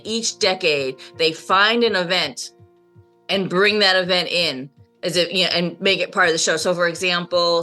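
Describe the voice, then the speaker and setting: Bright-voiced, emphatic woman in fan discussion. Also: on the low side. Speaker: panelist in a radio roundtable. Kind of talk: casual, enthusiastic fan discussion.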